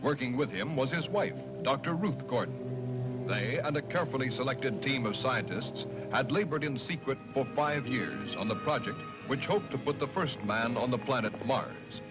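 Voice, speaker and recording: A man narrating a science fiction film in a measured, formal, scripted documentary style. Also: mid-range voice, lively intonation, lightly acted. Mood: solemn, expository. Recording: dull old film soundtrack. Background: prominent music.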